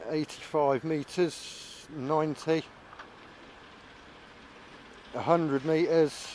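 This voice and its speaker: A man's voice: on the high side, steady tone, slow pace, darkish tone, slight background noise, rough recording. A man giving casual, musing running commentary as a vlogger flying a drone, thinking aloud as he goes. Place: outdoors.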